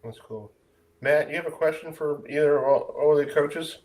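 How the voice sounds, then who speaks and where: A man's voice: fairly high pitch, normal speed, darkish tone. A man with an American accent hosting a sports talk show in a casual, chatty way. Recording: distant from the mic.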